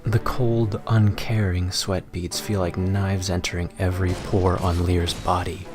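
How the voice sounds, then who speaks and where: A man's voice: low-pitched, little pitch movement, measured pace, over music. A man reading his own fan fiction as a dramatic, mock-serious, theatrical narrator.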